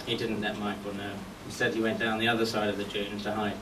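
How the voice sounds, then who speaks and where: A man delivering a eulogy full of anecdotes, telling stories in short phrases with deliberate pauses. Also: on the low side, flat delivery. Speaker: friend giving a memorial tribute. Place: golf club function venue at a memorial service. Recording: echoey distant mic with background noise.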